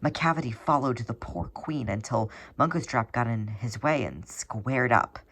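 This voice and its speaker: Expressive fan fiction narrator, mid-pitched voice. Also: moderate pace, slightly rough. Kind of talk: scripted narration of a story.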